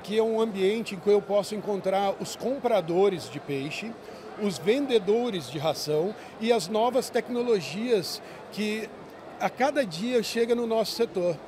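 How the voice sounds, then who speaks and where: A man's voice: sits high, unhurried, noisy background. A man in an interview, speaking plainly and matter-of-factly about a trade fair.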